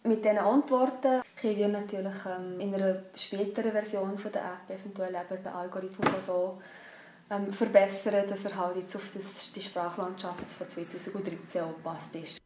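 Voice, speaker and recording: A woman's voice: medium pitch, fairly even intonation, moderate pace, darkish tone. A woman with a Swiss German accent explaining things off the cuff as a researcher in an interview. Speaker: phonetics researcher being interviewed. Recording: echoey room, faint background noise.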